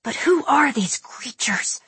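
A frightened young boy pleads and questions from inside his cell, as a woman reading a storybook puts him on. The voice sits well above her usual register, and it comes out breathy and husky. Everything is close and dry, with no room sound behind it. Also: sits high, lively intonation, measured pace, fairly bright tone, dry recording, pitched above the performer's own voice, heavily acted. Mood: fierce. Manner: eager, anxious questioning.

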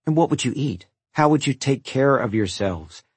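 A man's voice: mid-range voice, animated, normal speed, slightly rough, no room sound, pitched above the performer's own voice. A man voicing a worn-out, exasperated mother who pleads with and scolds her sons in an audiobook story. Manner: pleading, sighing, snapping into yells.

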